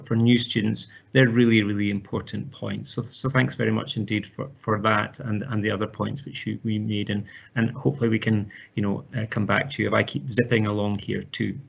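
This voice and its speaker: Husky gravelly male lecturer. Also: fairly low pitch, highs cut off. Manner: formal, with repeated words and restarts. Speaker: university lecturer. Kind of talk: open day presentation.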